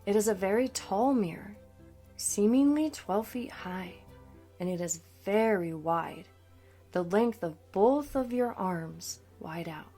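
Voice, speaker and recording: A woman coach narrating a scripted guided meditation in a soothing, deliberate manner. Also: mid-range voice, expressive, warm tone. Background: background music.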